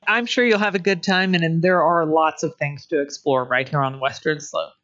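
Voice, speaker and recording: A woman's voice: deep voice, fairly even intonation, fairly quick, a bit bright. A woman hosting a local podcast interview, asking questions in a friendly way with short affirmations. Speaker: host. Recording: dull lo-fi audio with faint music.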